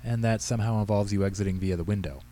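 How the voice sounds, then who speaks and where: A man voicing a calm, practical woman police officer who questions people in a direct, kindly but firm way in a story reading. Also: on the low side, quick pace, lightly acted.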